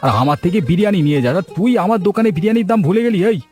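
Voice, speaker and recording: Casting: man to play cocky village food-stall husband for cartoon comedy, quick, scornful, highish male pitch. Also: expressive, a little mellow, soft background music. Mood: scornful, irritated. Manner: boastful, scornful, emphatic. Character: boastful, stubborn village biryani cook and stall owner, husband.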